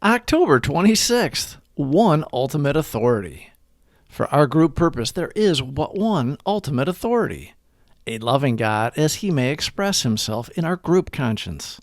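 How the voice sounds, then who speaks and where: Man reading reflections, highly animated pitch. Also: moderate pace, dry recording, studio quality. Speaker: host of a daily recovery reading. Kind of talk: scripted reflective read-along narration.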